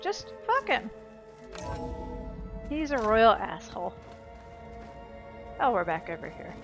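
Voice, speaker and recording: A woman's voice: a little high, wildly swooping pitch, slow pace, vocal fry. A woman giving casual gameplay commentary as a let's play host, rambling and blunt with some swearing. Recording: loud game music behind.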